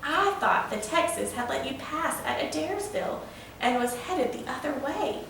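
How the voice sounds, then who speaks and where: A woman's voice: high-pitched, animated, moderate pace, gravelly, a bit bright, full character voice. A woman voicing a curious, questioning young male listener in a children's storybook. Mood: puzzled. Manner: questioning, wondering. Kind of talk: children's storybook read-aloud. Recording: roomy, echoing, distant mic with faint noise.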